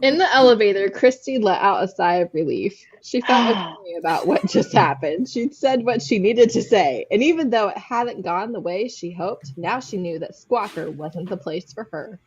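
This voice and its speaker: A woman with a slightly husky voice narrating a story expressively in an amateur reading. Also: mid-range voice, expressive, moderate pace, few pauses, a little mellow. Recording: dull, lo-fi microphone sound.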